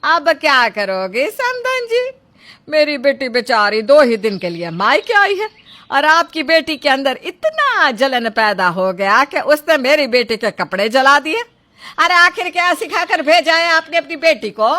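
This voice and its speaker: A woman playing a scolding, domineering middle-aged mother-in-law in a dramatized Hindi moral story, with sharp, accusing delivery. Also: sits high, sing-song, unhurried, heavily acted.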